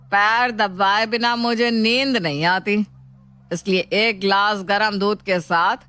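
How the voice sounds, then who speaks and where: Woman voicing a bossy old mother-in-law, sharp-edged. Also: mid-range voice. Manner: commanding, scolding. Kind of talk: acted moral storytelling.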